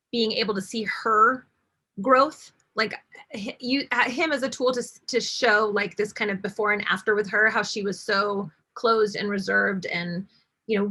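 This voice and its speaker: A woman's voice: fairly high pitch, sharp tone. A woman hosting a book club discussion over a video call, chatty and full of fillers.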